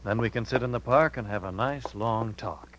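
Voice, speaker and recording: An actor playing a persuasive, insistent but kind young detective in a 1950s radio drama. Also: male voice, mid-range voice, moderate pace, close to an everyday voice, rough recording. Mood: earnest, pleading. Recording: hissy, humming 1950s radio recording.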